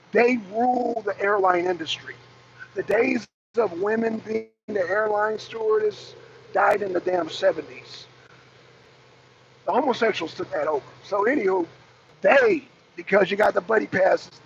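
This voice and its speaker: A raspy-voiced man hosting a casual rant to his viewers from his truck, blunt and profane as he calls out rivals. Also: high-pitched, dull audio, slight background noise. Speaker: host. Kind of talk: casual rant to a live audience. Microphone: phone camera.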